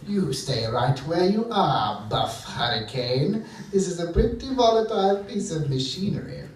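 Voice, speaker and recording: In an echoey theatre, far from the mic, a bumbling evil professor rants in theatrical bluster. The voice sits high and leaps about wildly, petulant and exasperated, as in a comic stage serial. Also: male voice, expressive, measured pace, slight background noise. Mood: menacing bluster. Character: hammy bumbling male mad scientist villain, petulant and blustering. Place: theatre stage before a live audience.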